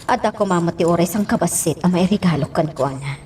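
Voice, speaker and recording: A woman's voice: fairly low pitch, fast, darkish tone, natural voice. A woman acting an emotional female character in a radio drama. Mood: pleading. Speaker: actor. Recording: very noisy radio drama recording.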